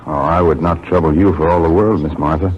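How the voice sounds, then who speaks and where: An actor playing a solemn, idealistic young colonial gentleman with a halting, earnest delivery in a radio drama. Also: a bass voice, fairly even intonation. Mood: tender, earnest. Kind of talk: 1940s radio acting. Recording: dull, faintly noisy 1940s radio recording.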